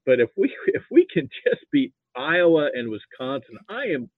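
An older American man chatting casually about sports as a podcast guest, halting and repeating himself, over a thin call connection. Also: a little high, wildly swooping pitch, no echo. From Nebraska, United States. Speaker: podcast guest, football fan. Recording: thin, narrow phone-like line.

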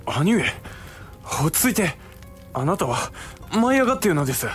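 An actor playing a samurai lord's level-headed younger brother in a Japanese period audio drama, speaking politely and formally, in an admonishing way. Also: male voice, sits high, sing-song, moderate pace, soft background music. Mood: urgent admonishing.

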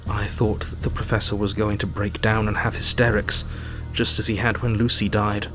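A man's voice: lowish voice, flat delivery, music in the background, natural voice. A man playing a sober doctor narrating. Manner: measured, sober storytelling. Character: male doctor narrating his journal, sober and steady. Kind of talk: audio drama narration.

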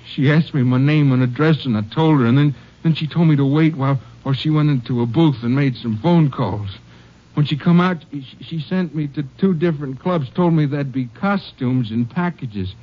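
A man's voice: on the high side, normal speed, natural voice. An actor playing a desperate, impoverished father who stammers and pleads his innocence in an old-time radio drama. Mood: anxious, halting. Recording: muffled vintage radio recording.

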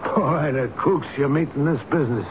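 Gruff, impatient working man played by an actor. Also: a little high, brisk, lightly acted. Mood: brusque. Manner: brusque, slangy, pushy. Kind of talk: old-time radio drama acting. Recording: muffled, noisy old radio broadcast.

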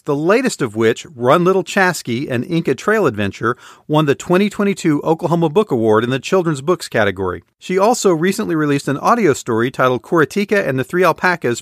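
A man's voice: a little high, a bit bright, no echo, clean studio sound. A man hosting a book podcast, who reads an upbeat introduction and then asks questions with enthusiasm. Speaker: podcast host. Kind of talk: scripted intro then casual interview.

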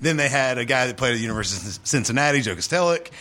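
A man's voice: medium pitch, lively intonation, rapid-fire, pushed and bright. A man in his late thirties, a guest on a sports podcast, telling easygoing stories with lots of hesitations. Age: 30s. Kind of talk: casual sports podcast chat.

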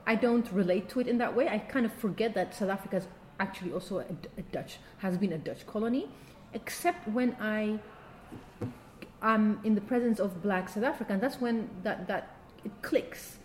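A woman's voice: medium pitch, normal speed, a little mellow, slight background noise. A woman from Ethiopia co-hosting a podcast, speaking thoughtfully and often restarting words as she works out her point. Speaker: podcast co-host. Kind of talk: casual interview chat. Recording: faint background ambience.

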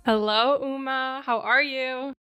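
A woman's voice: high-pitched, steady tone, slow, over music. A woman hosting a podcast, introducing her guest with upbeat enthusiasm. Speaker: podcast host.

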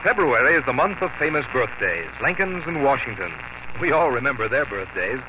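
A man's voice: mid-range voice, sing-song, brisk, noisy background. A man announcing on 1950s radio, reading scripted advertising and credits with polished emphasis. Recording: muffled 1950 radio broadcast with music.

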